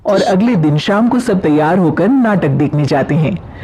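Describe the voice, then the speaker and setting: A woman's voice: fairly low pitch, expressive, moderate pace, mellow, breathy edge. A woman narrating a scripted story as the storyteller.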